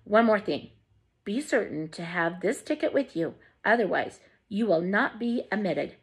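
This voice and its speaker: A woman reading out a showy candy maker's letter in a theatrical, drawn-out way. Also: mid-range voice, expressive, breathy edge. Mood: grandiose.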